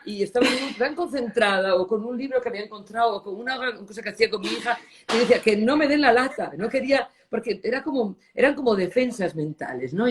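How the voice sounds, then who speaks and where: A middle-aged woman from Spain, speaking in a reflective interview and often pausing to search for words, with Italian words slipping in. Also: medium pitch, darkish tone. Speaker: designer interviewee.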